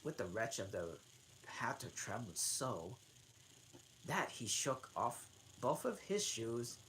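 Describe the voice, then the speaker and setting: A woman's voice: rumbling low, very animated, takes it slowly, a bit bright, low-quality recording. A woman reading a storybook aloud as an amateur host, often stumbling over words and repeating phrases. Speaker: channel host, reader. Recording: noticeable background noise.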